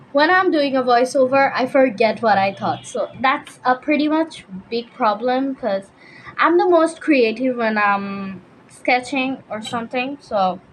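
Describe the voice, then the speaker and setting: A woman's voice: on the high side, slight background noise, poor audio. A fifteen-year-old young woman, chatting casually as a YouTuber answering viewer questions. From Bangladesh, raised mostly in Dhaka. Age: teenager, fifteen.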